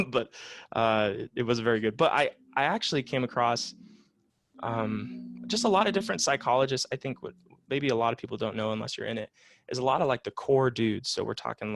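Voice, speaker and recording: A man in his late twenties talks things through in a relaxed interview, circling back with fillers and restarts. His voice carries a gravelly fry. The sound is dry and close, with no room around it. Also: fairly low pitch, dry recording. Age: 20s. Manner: reflective, many fillers and restarts. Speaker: psychotherapist guest. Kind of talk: casual conversational interview.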